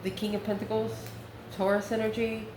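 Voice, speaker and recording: A woman's voice: mid-range voice, level tone, a little fast. A woman giving a casual, improvised tarot reading as an online host, musing aloud as she goes through the cards. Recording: roomy echo with faint background noise.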